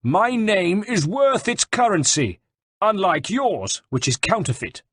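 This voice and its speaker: A man voicing a gruff, blunt, hot-tempered Italian mercenary general in an audiobook, growling and impatient. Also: on the high side, lively intonation, moderate pace, no echo, pitched above the performer's own voice. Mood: angry defiance. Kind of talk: dramatised audiobook narration.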